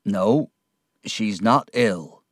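Man acting Ham, a slow grieving young man. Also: mid-range voice, lively intonation, no echo, clean studio sound. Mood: stunned, grieving. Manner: slow, heavy, halting.

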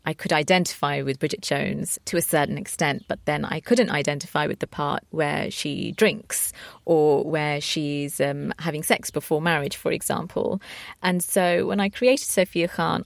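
Woman novelist, low creaky voice, thinking out loud, earnest. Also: no room sound. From London, England. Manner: thoughtful, earnest, with ums. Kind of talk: interview answers.

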